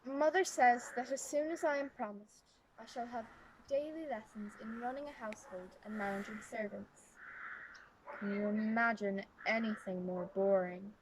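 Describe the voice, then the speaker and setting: A woman's voice: on the high side, normal speed, a little hiss or hum, close to an everyday voice, rough recording. A woman acting a privileged seventeen-year-old noblewoman in a historical monologue, with prim, formal, old-fashioned phrasing, wistful and playful. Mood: resigned.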